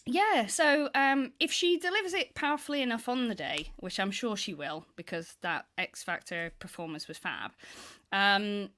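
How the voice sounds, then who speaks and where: Bright-toned woman, bubbly panelist, chatty. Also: mid-range voice. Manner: bubbly, apologetic, laughing. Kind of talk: casual panel chat.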